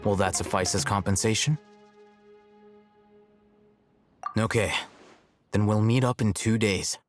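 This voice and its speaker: Male game role, actor: young analytical scholar, low register, measured and matter-of-fact, over clear background music. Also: lightly acted. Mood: businesslike. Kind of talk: video game story dialogue.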